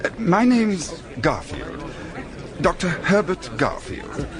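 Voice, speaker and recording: Shaken, brusque English gentleman doctor. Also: sits high, wildly swooping pitch, exaggerated voice. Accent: educated English. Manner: clipped, brisk, matter-of-fact. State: shaken and in pain. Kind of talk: radio drama acting. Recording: busy crowd noise behind.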